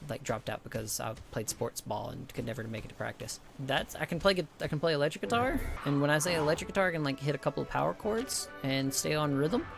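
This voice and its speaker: A young man from the with a higher-pitched voice, chatting casually with his stream viewers, rambling, swearing a lot and restarting often. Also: expressive, fast, creaky, hardly any pauses, music in the background. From American South. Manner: rambling, heavy swearing, many restarts and fillers.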